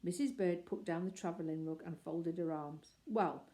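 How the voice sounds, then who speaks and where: A woman reading a children's story aloud in a gentle, measured way. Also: fairly low pitch, little pitch movement. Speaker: narrator.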